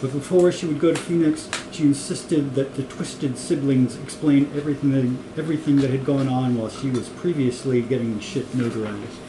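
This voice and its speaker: A man's voice: mid-range voice, moderate pace, few pauses. A man reading a comic story aloud in an amused way. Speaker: reader of a comic novel. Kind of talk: story reading with acted dialogue. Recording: echoey room with clear noise.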